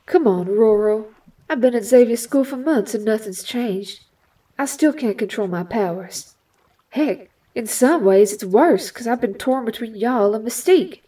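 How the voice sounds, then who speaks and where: A woman acts as a young Southern US mutant heroine in an audio drama. Her voice sits on the high side and slightly away from the mic. She is folksy and defiant, guarded and quick to flare. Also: lively intonation, dark tone, husky, distant mic.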